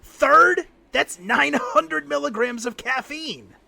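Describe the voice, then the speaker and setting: A man playing a loyal, worried mechanic in an audio drama, speaking earnestly and haltingly. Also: very high-pitched, vocal fry, bright, forceful tone, heavily acted. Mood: alarmed, concerned. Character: worried, loyal male mechanic friend, irreligious and wry.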